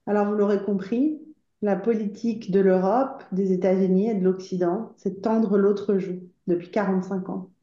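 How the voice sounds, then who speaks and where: A woman from Iran giving an impassioned conference speech as an association spokeswoman, urgent and earnest, often pausing on hesitant fillers as she calls the audience to act. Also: medium pitch, fairly even intonation, dark tone. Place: conference room. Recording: roomy, slightly dull conference recording.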